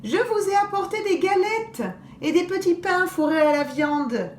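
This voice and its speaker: Woman putting on a sly greedy storybook wolf, high shrill wheedling voice, lip-smacking, lively swoops. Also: measured pace, a little hiss or hum. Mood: faking grandma. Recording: roomy echo.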